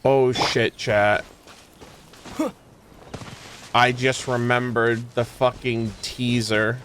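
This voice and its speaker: A man doing casual, excitable gaming commentary for a stream, full of slang and swearing. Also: medium pitch, slow, a little hiss or hum. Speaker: streamer playing and reacting to a video game.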